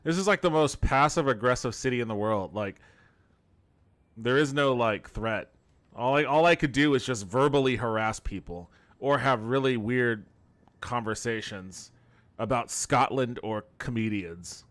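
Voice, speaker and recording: A man's voice: medium pitch, measured pace, a bit bright, no room sound. A man streaming a game with casual, improvised commentary, provocative and mock-serious, with some swearing. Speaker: streamer playing an AI game demo.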